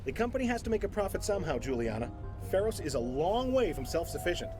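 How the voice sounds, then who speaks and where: Animated, officious corporate man. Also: high-pitched, fast talker, over music. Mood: defensive, evasive. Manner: dismissive, officious. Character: callous, defensive male corporate official guarding company interests. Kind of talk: video game dialogue acting.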